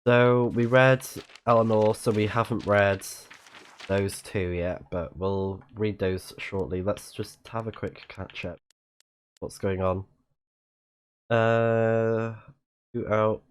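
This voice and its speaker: A man with a fairly low voice hosts a casual game livestream. He chats in loose, rambling asides as he plays, sounding slightly blocked up in the nose. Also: fairly even intonation, takes it slowly, smooth. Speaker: live-streaming let's play host. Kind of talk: casual game commentary, reading in-game messages aloud.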